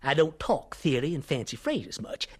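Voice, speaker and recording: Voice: mid-range voice, sing-song, moderate pace, breathy edge. An actor playing a folksy, pushy, self-satisfied practical man in a radio drama, with a blustering way of talking. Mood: blustering, scheming.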